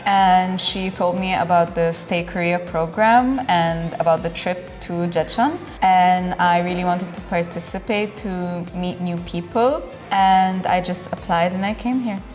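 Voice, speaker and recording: A woman's voice: fairly low pitch, a little slow, hardly any pauses, darkish tone, soft background music. Thoughtful young woman from Egypt. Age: 20s. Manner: thoughtful, earnest. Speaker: trip participant. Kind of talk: casual interview answers.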